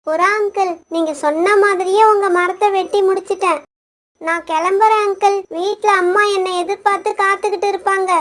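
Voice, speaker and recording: Woman as a very high-pitched little boy bird. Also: fairly even intonation, brisk, a bit bright. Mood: polite, grateful. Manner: polite, earnest. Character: kind, dutiful young male bird, loves his mother. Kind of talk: acted children's moral story cartoon.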